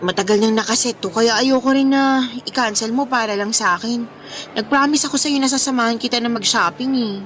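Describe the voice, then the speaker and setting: A woman's voice: on the high side, a little fast, a bit bright, natural voice. A woman playing an easygoing, apologetic, tired young woman in a Filipino radio drama, speaking with some hesitation.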